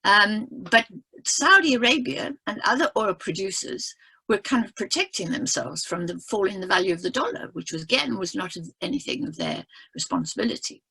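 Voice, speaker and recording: A woman's voice: medium pitch, animated, normal speed, gravelly, sharp tone, husky. An older woman from South Africa, an economist explaining with emphatic storytelling on a video call, often checking in with "right?". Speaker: economist speaking on a webinar panel. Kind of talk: impassioned explanatory talk.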